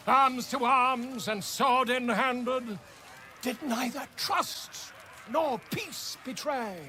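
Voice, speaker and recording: A man's voice: extremely high pitch, sharp tone, a little hiss or hum, exaggerated voice. A man playing a flamboyant theatrical bard in a video game, declaiming rhyming verse in a grandiose, dramatic manner. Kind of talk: declaimed rhyming poetry performance.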